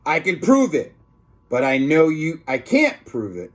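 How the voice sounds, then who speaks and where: A man's voice: fairly high pitch, huge pitch swings, measured pace, voice pushed up, heavily acted. A man voicing a stern, punitive male school principal in a children's storybook, with an emphatic, bossy manner. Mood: stern, accusing.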